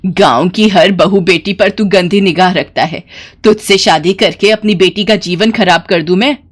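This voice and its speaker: A woman's voice: fairly low pitch, normal speed, lightly acted. A woman voicing a fierce, protective middle-aged village mother in a storybook tale, scolding and threatening. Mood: furious scolding.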